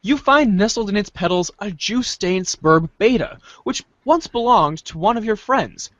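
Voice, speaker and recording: A podcast host reads a webcomic script out loud in a playful, theatrical way. The pitch swings around a lot. The recording is dry and a little dull. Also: lively intonation, normal speed, dry recording. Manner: playful, theatrical page-change calls. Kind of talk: dramatic group reading of a webcomic script.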